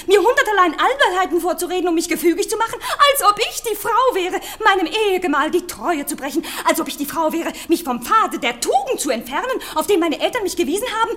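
A woman's voice: extremely high pitch, fairly bright tone. A woman playing a scheming young wife who feigns outraged virtue in a theatrical comedy radio play. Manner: theatrical, haughty.

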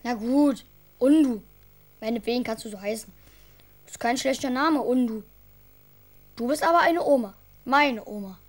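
Small boy played by a woman: high voice, earnest, caring, chatty, for a children's radio play. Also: moderate pace, long pauses, close to an everyday voice. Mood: curious. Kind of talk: children's radio drama acting.

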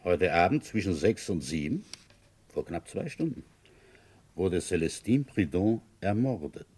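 An actor playing a shrewd, quietly ambitious rural police inspector in a German radio crime drama, questioning in a pointed, probing way. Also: male voice, sits low, sing-song, a little slow, husky, heavily acted. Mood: blunt, insistent.

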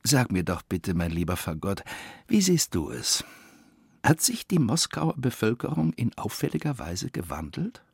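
An actor playing a courteous, brooding older devil in a radio play, with deliberate phrasing and a gravelly, husky voice. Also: male voice, lowish voice, big pitch swings, darkish tone, no room sound, clean studio sound, exaggerated voice. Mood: musing, probing. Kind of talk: German radio play acting.

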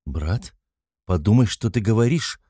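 A man voicing a proud, quick-tempered young woman in an audiobook, a concerned sister who is earnest and holds herself in check. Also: on the low side, mellow, no room sound, studio quality, close to an everyday voice. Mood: restrained. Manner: earnest, self-controlled.

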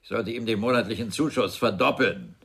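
A man's voice: on the low side, a little fast, creaky, slightly rough. An actor playing a bitter, sarcastic older father who blusters scornfully in a German radio crime drama. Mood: indignant, scornful. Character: sardonic, bitter, well-off father, widowed businessman.

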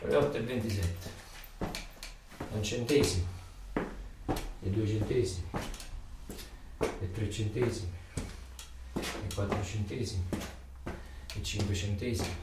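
An actor playing a weary, embittered factory worker in a theatrical radio monologue, with a southern Italian, Neapolitan accent and incantatory, repetitive phrasing. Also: male voice, fairly high pitch, close to an everyday voice. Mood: empty, tired. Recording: echoey room, far from mic, noisy.

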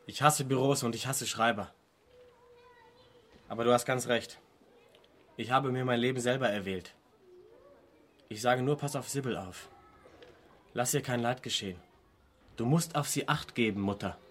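An actor playing a stern, sullen young sailor and protective brother, speaking brusquely in a radio play. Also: male voice, mid-range voice, normal speed, pauses often, close to an everyday voice. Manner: brusque, grumbling. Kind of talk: German radio play acting.

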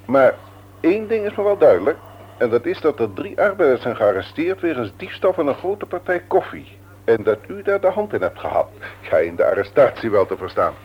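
An actor playing a formal, self-important company boss in a Dutch radio play, pompous and emphatic. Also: male voice, mid-range voice, very animated, a little fast, husky. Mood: pompous, pleased. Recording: muffled old radio play recording.

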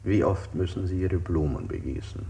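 A man's low voice, full of creak, playing a calm interrogator in a sixties radio play. He speaks formally and measuredly, feigning sympathy with a sinister undertone. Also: normal speed. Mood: probing. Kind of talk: 1960s German radio play acting.

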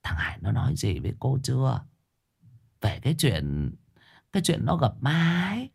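A man voicing a secretive old village woman who speaks in a low, conspiratorial voice. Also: very animated, measured pace. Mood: hushed. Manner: hushed, conspiratorial.

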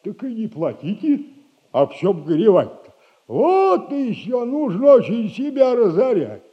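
An actor playing a sly, sardonic old retired soldier who lends money, in a 1960s radio play. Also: male voice, high-pitched, wildly swooping pitch, highs cut off, full character voice. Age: older. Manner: slow, teasing, sardonic advice.

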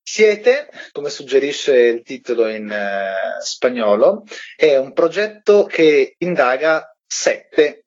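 A man's voice: mid-range voice, big pitch swings, slow pace, dull audio. A man, a theatre company member, explaining his work articulately as a guest in a radio interview.

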